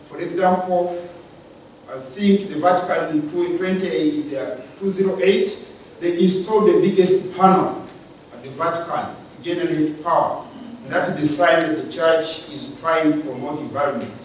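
A man from Uganda giving a measured, lecture-style talk on the church's role in society, pausing and restarting his phrases. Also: a little high, a little hiss or hum. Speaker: speaker on church and state. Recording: echoey room from far off.

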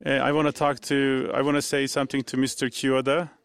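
A man with a Turkish accent, a native Turkish speaker, speaking haltingly from the audience and repeating himself. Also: mid-range voice, little pitch movement, creaky, clear, resonant tone, no room sound. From Turkey. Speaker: audience member. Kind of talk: addressing a speaker from the floor.

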